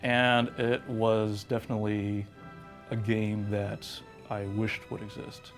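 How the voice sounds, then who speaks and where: Low, creaky-voiced man in casual interview. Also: little pitch movement, takes it slowly, soft background music. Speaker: video game interviewee.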